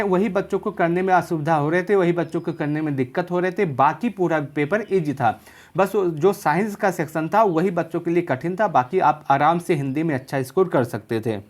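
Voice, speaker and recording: A woman presenting an informal exam analysis to students, explaining things and repeating key points for emphasis. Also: lowish voice.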